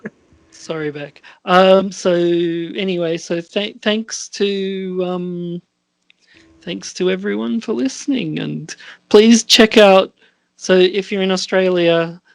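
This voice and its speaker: A male podcast host chats casually at an unhurried, slow pace. He speaks haltingly and lets sentences trail away before picking them up again. The sound is dry, with hardly any room around it. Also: high-pitched, vocal fry, clean tone, no echo. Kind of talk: casual chat.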